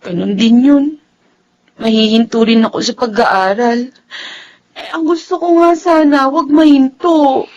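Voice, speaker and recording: A woman's voice: high voice, fairly even intonation, a little slow, darkish tone, lo-fi sound, a little hiss or hum. A woman acting a troubled, earnest young college student in a Filipino radio drama, with a plaintive, emotive delivery. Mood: downcast.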